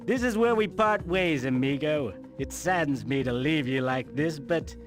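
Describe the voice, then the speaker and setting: A man voices a bossy little military animal leader for a cartoon game. He sits fairly high for a male and swings his pitch theatrically. His orders come clipped and melodramatic, over music. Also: animated, normal speed, lightly acted. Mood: grandly solemn. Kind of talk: video game cartoon voice acting.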